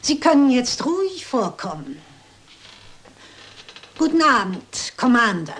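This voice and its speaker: An actress playing a shrewd, composed elderly lady detective in a German radio play, polite, measured and probing. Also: a little high, sing-song, fairly bright tone, heavily acted. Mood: calm, probing. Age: older.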